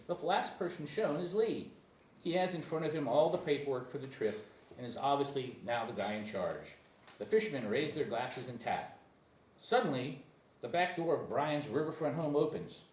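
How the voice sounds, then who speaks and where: A man narrates screenplay stage directions in a lively, swinging delivery. He trips on the odd word. The room is echoey and the mic sits at a distance, with faint noise. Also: medium pitch. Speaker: narrator at a screenplay table reading.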